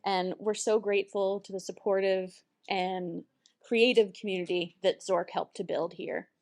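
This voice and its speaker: A woman's voice: medium pitch, fairly even intonation, moderate pace, darkish tone. A woman hosting a poetry slam at a public library, welcoming the audience and introducing the featured poets with upbeat energy. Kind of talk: emcee introduction to a live audience. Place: public library event room.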